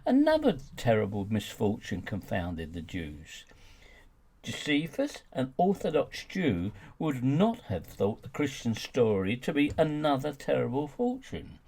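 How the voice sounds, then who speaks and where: Gravelly, animated male audiobook narrator. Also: mid-range voice. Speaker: author reading own audiobook. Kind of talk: scripted narration, polemical nonfiction audiobook.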